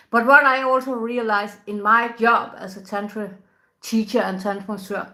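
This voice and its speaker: A woman, a tantra teacher talking casually to camera in soft, unhurried English, often laughing between thoughts. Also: fairly high pitch. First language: Danish. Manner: soft-spoken, unhurried, laughs often, non-native grammar. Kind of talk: casual spoken talk to camera.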